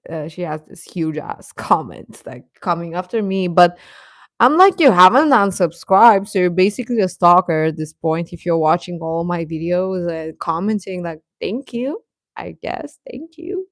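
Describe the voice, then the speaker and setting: A woman chatting casually as host of a live stream, rambling and halting, with a sarcastic streak. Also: fairly low pitch, expressive, no echo. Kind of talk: casual live-stream chat about pop fandom.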